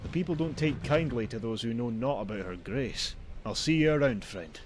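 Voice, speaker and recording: An actor playing a wry, cynical traveling merchant in a video game, with a theatrical, sardonic manner and old-fashioned phrasing. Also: male voice, mid-range voice, lively intonation, moderate pace, slight background noise, lightly acted. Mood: sardonic, teasing. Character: wry, cynical male traveling merchant, grim about bandits. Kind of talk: voiced video game dialogue.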